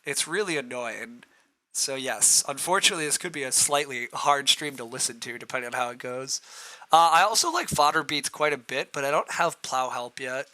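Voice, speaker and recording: A man with a rough, hoarse voice, streaming a board game, thinking aloud through his choices and answering chat as he clears his throat. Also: medium pitch, normal speed, pushed and bright.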